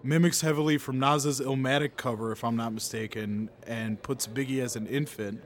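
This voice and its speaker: A man's voice: mid-range voice, steady tone, moderate pace. A man who is a guest on a casual podcast and talks at length while hedging his claims, recorded in a hookah bar restaurant. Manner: talkative, hedges with if I'm not mistaken. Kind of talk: casual chat. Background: chatter.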